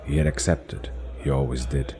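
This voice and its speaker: A man's voice: very deep voice, fairly even intonation, moderate pace, mellow. A man narrating a fantasy audio drama in a scripted, dramatic storytelling style.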